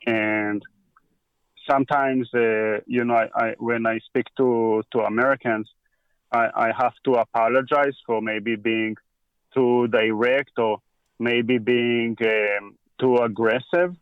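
Man with an Israeli accent, halting. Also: mid-range voice, steady tone, smooth, no echo, phone-quality sound. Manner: halting, with frequent pauses and restarts. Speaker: guest. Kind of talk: casual conversation.

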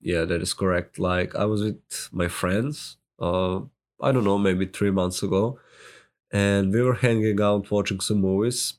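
Slow, low-voiced man with a Serbian accent. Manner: hesitant, many filler words and restarts. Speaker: solopreneur sharing marketing advice. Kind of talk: casual talk to camera.

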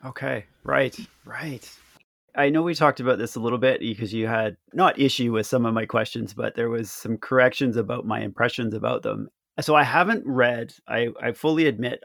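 A man's voice: mid-range voice, normal speed, creaky, fairly bright tone, no room sound, studio quality. A man hosting an interview, asking rambling, enthusiastic questions. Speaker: podcast host. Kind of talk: conversational interview.

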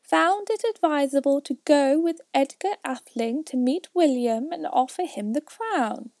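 A woman voicing a fussy, self-important male mouse who lectures the others in a raised, high voice. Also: animated, measured pace, no room sound, studio quality. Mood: pompous. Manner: pompous, lecturing, high and loud.

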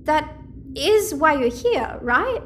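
A quirky teenage hacker girl, speaks in a high voice that swoops with cheeky energy. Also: big pitch swings, slow pace, a little hiss or hum, exaggerated voice. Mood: cheeky, confident. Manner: cheeky, chatty, slangy. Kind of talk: audio-fiction drama dialogue.

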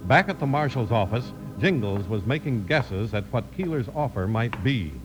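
A man narrating and pitching the sponsor as a vintage radio announcer, with a folksy, polished delivery. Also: expressive, moderate pace, over music.

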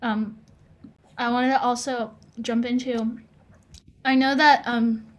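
Young woman on a podcast, earnest and kinda high-voiced, thinks out loud, restarts a lot. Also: little pitch movement, slow. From United States. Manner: earnest, thoughtful, with frequent restarts. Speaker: podcast co-host. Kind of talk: casual podcast discussion.

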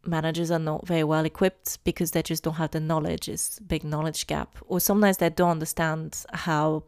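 Low smooth-voiced woman, earnest, chatty, dry close sound. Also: little pitch movement, fairly quick, darkish tone, no room sound. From France. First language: French. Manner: earnest, emphatic, repeats starts. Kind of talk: casual discussion.

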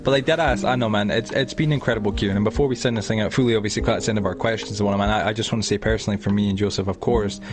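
A man's voice: on the low side, fairly even intonation, very fast, hardly any pauses. A Scottish man from Edinburgh hosting a casual podcast chat over an online call, rambling with lots of restarts and fillers. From Scotland. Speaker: podcast host.

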